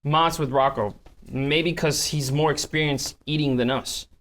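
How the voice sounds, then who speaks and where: A man plays a sly sidekick gangster in a drama read-through. His voice is a little high, and his delivery is casual and teasing. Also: steady tone, a little slow, fairly bright tone. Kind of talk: script table read.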